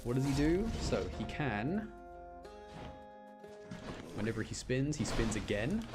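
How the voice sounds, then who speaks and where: A man's voice: medium pitch, very animated, unhurried. A man giving casual live commentary as he streams a video game, exclaiming and repeating words to himself under pressure. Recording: music behind the voice. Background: loud game music.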